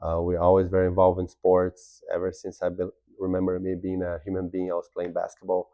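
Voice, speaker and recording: A man's voice: deep voice, monotone, a little fast, no room sound, phone-quality sound. A man in his thirties from Brazil, a native Portuguese speaker, answering interview questions politely and steadily. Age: 30s. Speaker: guest.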